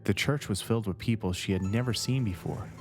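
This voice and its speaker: A man narrating a scary story from a script in measured, deliberate phrases. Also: lowish voice. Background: background music.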